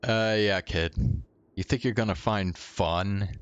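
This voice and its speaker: A man's voice: lowish voice, slow, smooth. A laid-back man giving casual, sardonic, slangy commentary as a game streamer, on a slightly dull microphone. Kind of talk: casual live commentary over gameplay. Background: game music.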